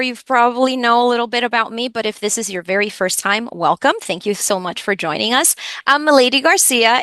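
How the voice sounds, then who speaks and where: A woman from the Dominican Republic hosting and presenting a webinar, speaking to listeners in a welcoming, clearly organized way. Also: a little high, sharp tone, no echo. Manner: welcoming, addresses listeners directly, clearly signposted. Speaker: webinar host, education program supervisor.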